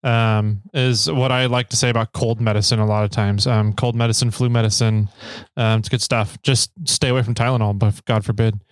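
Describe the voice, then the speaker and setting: A man's voice: lowish voice, little pitch movement, fairly quick, few pauses, clear, resonant tone, dry recording. A man hosting a casual podcast, joking around and laughing often. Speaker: podcast host.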